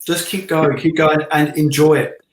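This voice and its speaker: Middle aged guy, bit higher voice, jokey rambly chat, echoey room. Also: level tone, moderate pace. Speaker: illustrator and interview guest. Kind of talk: casual chat. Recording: roomy, echoey sound.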